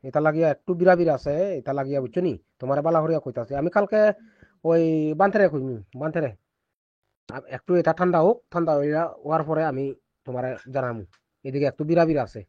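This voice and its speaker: A man's voice: fairly high pitch, fast, no echo. A man with a Sylheti-influenced eastern Bengali accent explaining informally on a phone call, repeating himself and checking he is understood. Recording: dull recorded phone call.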